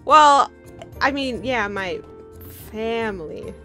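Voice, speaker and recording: A woman playing an eager, excitable schoolgirl at a wizard school in a Minecraft roleplay, chatty and exclaiming. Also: fairly high pitch, takes it slowly, faint music behind, exaggerated voice. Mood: eager, flustered. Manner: chatty, exclaiming, hesitant starts.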